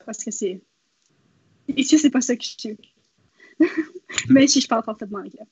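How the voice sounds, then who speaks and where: A woman's voice: a little high, normal speed, breathy edge, dry recording, highs cut off. A young woman from northwest New Brunswick with a Québécois-like French accent, chatting casually as a guest. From northwest New Brunswick, Canada. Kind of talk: casual conversational chat.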